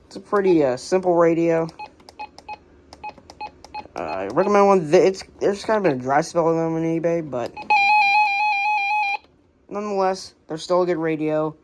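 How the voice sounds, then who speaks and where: An American woman casually showing a hobby collection, speaking in a halting, unscripted, plain way on an amateur home recording. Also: low-pitched, lively intonation. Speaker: radio collector presenting the collection. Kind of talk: casual hobby show-and-tell narration.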